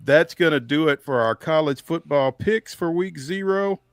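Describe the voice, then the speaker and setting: A man co-hosting a sports show, talking casually through his game picks in a measured, halting way as he thinks aloud. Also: on the high side, smooth, dry recording, clean studio sound.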